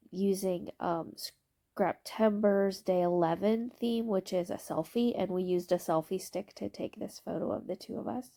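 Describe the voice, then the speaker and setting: A woman with a stuffy, congested voice narrating her scrapbooking process video, explaining her supplies in a relaxed way with occasional ums. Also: medium pitch, vocal fry. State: stuffy, congested from allergy shots.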